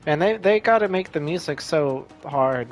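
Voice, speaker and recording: A man's voice: a little high, animated, moderate pace. A man giving casual gameplay commentary, muttering to himself in a laid-back way. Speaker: gamer playing and commenting. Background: game music.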